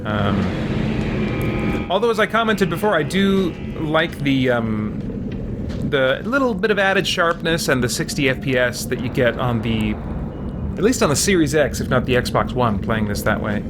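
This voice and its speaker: A man live-streaming a video game, chatting casually with viewers and thinking aloud in a playful, informal way. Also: medium pitch. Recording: clear background noise behind the voice.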